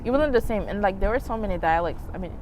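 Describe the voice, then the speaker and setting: A woman's voice: medium pitch, lively intonation, fast, a little mellow, low-quality recording. A young woman from Senegal, Wolof her first language, chats easily and loosely, with fillers, on a podcast recorded outdoors. Faint traffic and city noise hum behind her voice. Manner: chatty, filler-heavy, laughing. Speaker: guest. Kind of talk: casual chat.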